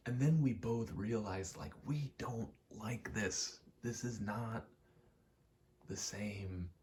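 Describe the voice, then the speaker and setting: A soft-spoken man rambling casually in ASMR style, meandering and murmuring, often dropping toward a whisper. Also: on the low side, slow pace. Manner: meandering, self-correcting, casually sweary. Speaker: video maker rambling over gameplay. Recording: faint background noise.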